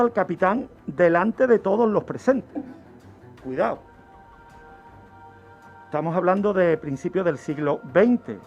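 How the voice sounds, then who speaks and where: A man tells true-crime history on radio in a didactic way, with dramatic pauses and rhetorical questions. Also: high-pitched, a little mellow. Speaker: criminologist, radio segment contributor. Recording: dull lo-fi radio recording with background music.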